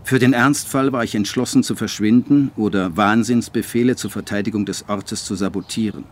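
An actor playing a brooding postwar writer who narrates his life in a measured, dry, literary way for a radio drama. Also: on the low side, natural voice. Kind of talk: scripted radio drama monologue.

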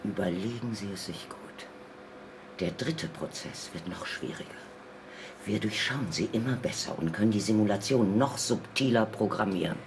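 Radio drama official, male actor: low, croaky, stern, condescending, implacable. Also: moderate pace, natural voice. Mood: cold, weary. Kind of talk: German radio drama acting. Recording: clear noise behind voice.

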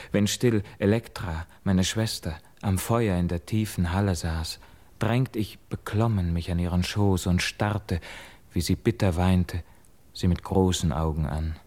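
Guilt-haunted young man, low voice, brooding, solemn measured declamation, classical verse radio play. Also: darkish tone. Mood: melancholy, yearning. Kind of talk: classical German verse drama, stage declamation.

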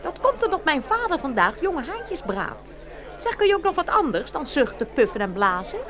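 A woman's voice: sits high, very animated, moderate pace, a crowd in the background. A woman playing an impatient, imperious young princess in a fairy-tale audio play. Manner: imperious, dismissive. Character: haughty, impatient young princess choosing a suitor.

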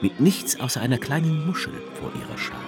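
An actor playing a brooding, sardonic author who narrates a radio play in the first person. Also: male voice, mid-range voice, animated, fast, over music, natural voice. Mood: observing, sardonic. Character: brooding male narrator-author, sensual, darkly sardonic witness.

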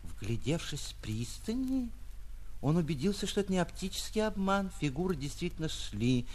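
A man, an actor reading a literary short story in an expressive, theatrical narrating style. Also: on the high side, moderate pace, a little hiss or hum. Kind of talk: dramatic literary narration with acted dialogue.

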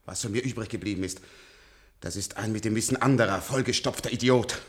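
A man's voice: fairly low pitch, fairly quick, close to an everyday voice. An actor playing a very old, sardonic man in a science-fiction radio play, weary and defiant in manner. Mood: agitated accusation. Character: bitter, sardonic very old man kept alive as an experiment.

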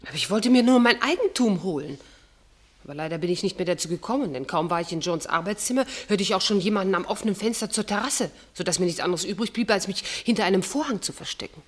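Actress as a husky, cornered female suspect. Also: mid-range voice, expressive, fast talker, close to an everyday voice. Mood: defensive. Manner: dramatic, emphatic. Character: cornered female suspect anxiously defending herself. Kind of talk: German radio mystery drama acting.

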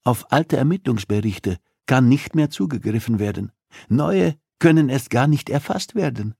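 A man's voice: medium pitch, expressive, moderate pace, creaky, a little mellow, breathy edge, no room sound, studio quality, pitched above the performer's own voice. A man narrating an audiobook, voicing a coolly persuasive, threatening female criminal who interrogates with insistence and condescension.

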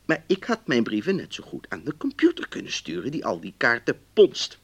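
An exasperated, courteous male customer in a radio drama. His husky, gravelly voice swings widely in pitch as he emphatically explains himself in a letter. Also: huge pitch swings, brisk, heavily acted. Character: exasperated, polite male book-club customer writing letters. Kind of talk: radio play, letter read aloud.

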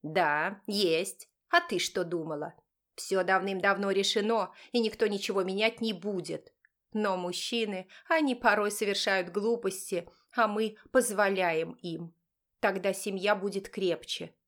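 A woman's voice: medium pitch, steady tone, a little slow, fairly bright tone, lightly acted. Woman acting a haughty, contemptuous rich mother. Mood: contemptuous, condescending. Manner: cutting, imperious, speaks through clenched teeth. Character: haughty, contemptuous wealthy mother guarding her son.

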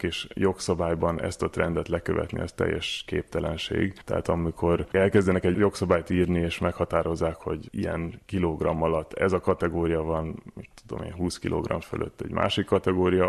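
Low creaky guy, pretty monotone, explaining stuff methodically in an interview. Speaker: university research fellow, interview guest.

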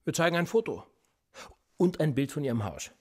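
An actor playing a sardonic, probing police interrogator in a naturalistic radio drama, speaking in a deliberate, controlled way. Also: male voice, on the high side, big pitch swings, darkish tone, husky. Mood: cold, menacing. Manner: deliberate, probing, dryly ironic.

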